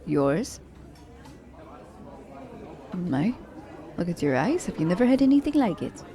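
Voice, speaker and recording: A woman playing a confident, selfish young assassin in an ASMR romance roleplay, speaking in a teasing, halting manner. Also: fairly low pitch, sing-song, mellow, a faint crowd. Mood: teasing, relaxed.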